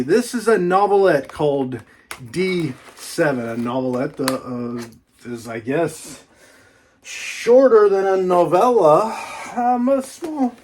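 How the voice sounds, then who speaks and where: A man's voice: high voice, darkish tone, slight background noise. A man doing a casual, folksy book unboxing vlog, rambling playfully with repeats and little asides.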